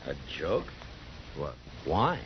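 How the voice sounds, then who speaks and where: A dull, very noisy old radio recording carries a low-voiced frontier lawman. He is steady and dry, a watchful marshal who talks in measured, unhurried phrases, in the manner of a 1950s radio western. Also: full character voice. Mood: dry, puzzled. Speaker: lead actor and narrator.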